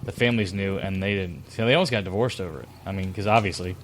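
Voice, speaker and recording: A man's voice: low voice, quick pace, noisy background. A man, co-hosting a podcast, joking crudely and swearing freely in casual chat. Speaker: podcast co-host.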